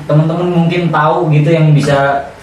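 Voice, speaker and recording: Echoey, higher-voiced Indonesian man chatting. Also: steady tone, brisk, a little mellow, lo-fi sound. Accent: Indonesian, Jakarta colloquial. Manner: slangy, conversational. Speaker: podcast co-host. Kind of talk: casual chat. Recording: echoey room, distant mic, faint noise.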